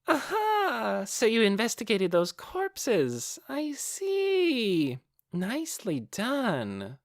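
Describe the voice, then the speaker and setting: A man's voice: high voice, huge pitch swings, very slow, dry recording, clean studio sound, pitched above the performer's own voice, exaggerated voice. A man voicing a playful, sly young female villain with a flippant, sing-song way of talking, in a fantasy audiobook. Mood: mocking delight.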